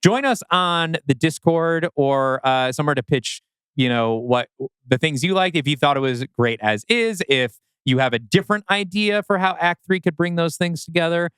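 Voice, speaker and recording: A man's voice: on the high side, moderate pace, fairly bright tone, smooth, no room sound. A man co-hosting a podcast in casual creative discussion. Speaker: podcast co-host.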